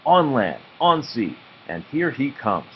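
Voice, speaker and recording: A man's voice: medium pitch, big pitch swings, slow, dull audio, slight background noise. A man voicing an exasperated, indignant girl in a children's storybook read-aloud. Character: exasperated girl hiding from a pesky boy.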